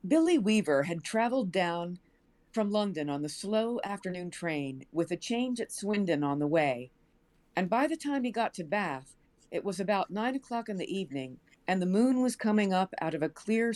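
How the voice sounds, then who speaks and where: A woman's voice: lowish voice, animated, normal speed, a little mellow. An American woman reading fiction aloud as a storyteller. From United States. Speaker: show cast member reading a story aloud.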